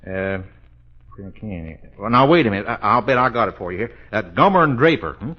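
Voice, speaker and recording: Folksy, low American building attendant. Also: male voice, animated, a little fast. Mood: pondering. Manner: folksy, hesitant, pondering. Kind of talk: 1940s American radio comedy acting. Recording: dull, lo-fi old radio recording.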